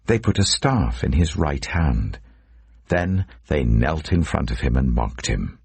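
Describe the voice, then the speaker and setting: A man's voice: extremely low pitch, very animated, measured pace, vocal fry, darkish tone, slightly rough, no echo. A man narrating scripture as a scripted, dramatic audiobook reading.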